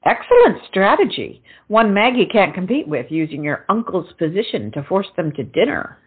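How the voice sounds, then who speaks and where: An actress playing a bawdy, teasing young confidante with a knowing manner in a stage comedy. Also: female voice, medium pitch, big pitch swings, moderate pace, breathy edge, phone-quality sound. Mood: sly teasing. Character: Elizabeth, cheeky, bawdy young lady confidante.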